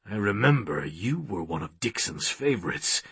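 A man voicing a cold, creepy male hybrid villain, a menacing and deliberate father figure in an audiobook. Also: fairly low pitch, big pitch swings, gravelly, fairly bright tone, slightly rough, no echo, pitched below the performer's own voice, full character voice. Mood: coldly taunting.

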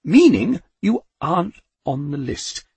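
A man's voice: mid-range voice, huge pitch swings, normal speed, vocal fry, a little mellow, breathy edge, no room sound, pitched above the performer's own voice, full character voice. A man playing a flustered, apologetic Death. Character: nervous, lightly built male Death with clipboard.

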